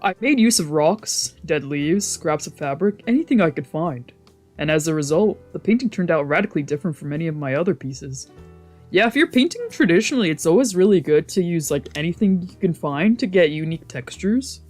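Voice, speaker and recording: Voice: medium pitch, lively intonation, brisk, soft background music. A streamer of a visual novel reading the text aloud and adding casual, wry asides.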